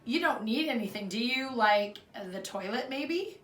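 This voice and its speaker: A woman's voice: on the high side, animated, measured pace. Woman doing a hearty, over-friendly neighbor man, roomy sound. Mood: friendly, awkward. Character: booming, super friendly, nervous neighbor man, a father. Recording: roomy echo, distant mic.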